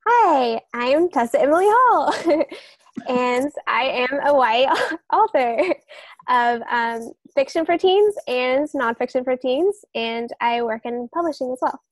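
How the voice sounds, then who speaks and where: A woman co-hosting a podcast, explaining things casually and enthusiastically in a halting way. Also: high-pitched, animated, no echo. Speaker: podcast co-host and author.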